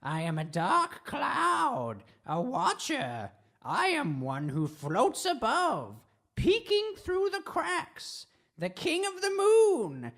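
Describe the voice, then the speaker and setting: A high-pitched, mad watcher taunts from above a dungeon. The delivery is theatrical and riddling, drawn out very slowly with wild swoops and cackles, in radio drama style. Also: female voice, huge pitch swings, fairly bright tone, exaggerated voice. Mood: cryptic, gloating. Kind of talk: improvised radio drama comedy.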